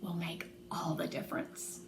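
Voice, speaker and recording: A woman voicing a professional female doctor in a children's storybook, careful and deliberate with her wording. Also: in a whisper, distant mic, natural voice. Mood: measured. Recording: roomy echo, faint background noise.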